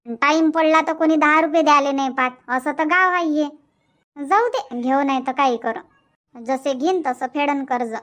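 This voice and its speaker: A sarcastic, grumbling village wife played by a woman in a cartoon comedy. Her voice is extremely high, almost childlike, with a Varhadi Marathi accent. She rambles on in resentful, thrifty complaint. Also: moderate pace, close to an everyday voice. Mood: sarcastic, huffy. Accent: Varhadi Marathi from Vidarbha. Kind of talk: dubbed cartoon comedy dialogue.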